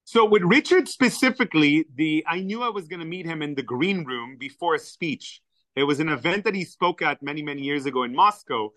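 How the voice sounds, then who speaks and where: Guy whose first language is hebrew, tells stories all excited, voice a bit high. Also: a little fast, no room sound. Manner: animated, enthusiastic storyteller. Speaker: podcast guest. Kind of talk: storytelling in conversation.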